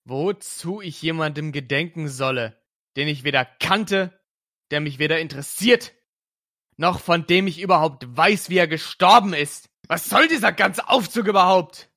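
Man doing an angry young detective, bright voice, defiant, shouting. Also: medium pitch, steady tone, moderate pace, dry recording, clean studio sound, natural voice. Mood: furious. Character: irritable young adult male detective.

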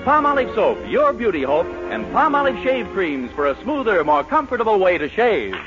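A male announcer from 1940s radio with a slightly high, very animated voice. He reads his script in a polished showman's style on a muffled old broadcast recording. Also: moderate pace, over loud music. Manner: polished, theatrical showman delivery.

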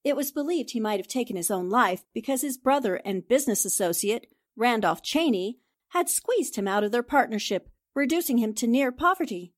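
Actress as high-voiced, shrewd radio drama reporter. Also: female voice, expressive, moderate pace. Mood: probing. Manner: composed, pointed questioning. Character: shrewd, probing female newspaper reporter Anne Rogers. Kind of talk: 1940s radio drama acting.

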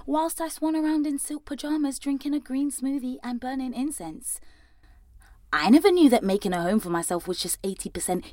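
A woman's voice: on the high side, no echo, clean studio sound, natural voice. A woman playing an anxious, wry young woman in an audio drama monologue, speaking candidly and conversationally in a London British accent. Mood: wry, animated. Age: young adult. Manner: candid, self-mocking, sweary, animated.

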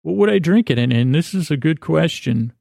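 Male podcast host for sleepy listening: creaky, meandering, repeats himself, gently absurd monologue, very dry recording. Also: fairly high pitch, animated, no room sound. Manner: meandering, halting, repeats and trails off mid-thought; whimsical, self-deprecating. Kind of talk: rambling bedtime podcast monologue.

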